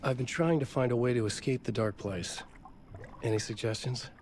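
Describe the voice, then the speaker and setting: An actor playing a troubled, brooding writer in a video game, with a terse, grave delivery. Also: male voice, medium pitch, moderate pace, darkish tone, natural voice. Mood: uneasy, questioning. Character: troubled, trapped male writer, brooding and determined. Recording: clear ambient noise behind voice. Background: game ambience.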